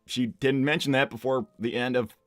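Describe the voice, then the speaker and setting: A man acts out a cocky young cartoon hedgehog hero in a live roleplay stream. He speaks at a medium pitch in a loose, halting, casual way, a bit dense but sure of himself. The sound is close and dry, with faint music underneath. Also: fairly even intonation, no echo, close to an everyday voice. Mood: defensive, reluctant. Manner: casual, halting, lots of "like" and "you know". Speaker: live stream character performer reading donor messages. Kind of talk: improvised in-character live roleplay, casual banter.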